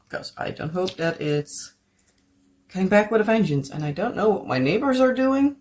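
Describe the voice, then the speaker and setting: Slow-talking Dutch woman rambling. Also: lowish voice, a little hiss or hum. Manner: rambling, searches for words, laughs often. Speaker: craft vlogger. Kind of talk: casual chat while crafting. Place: home.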